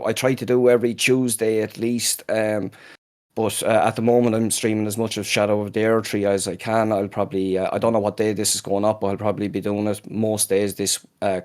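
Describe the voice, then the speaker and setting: A man's voice: lowish voice, darkish tone. A man, a podcast guest chatting casually and rambling with lots of ums and uhs over a remote call. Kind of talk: casual chat.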